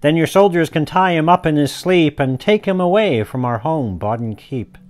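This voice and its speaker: A man voicing an eager, soft-hearted eight-year-old girl in a rhyming storybook tale. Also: fairly high pitch, moderate pace, close to an everyday voice. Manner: pleading, excitable.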